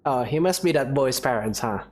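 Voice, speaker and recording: Low female voice, casual gameplay commentary, lively intonation, game music behind. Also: normal speed. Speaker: game streamer.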